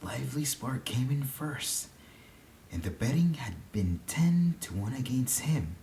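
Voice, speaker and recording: A narrator reading a literary story aloud in a deliberate, dramatic way, with pauses between phrases. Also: medium pitch, lively intonation, creaky, mellow, slight background noise, rough recording. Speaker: story reader.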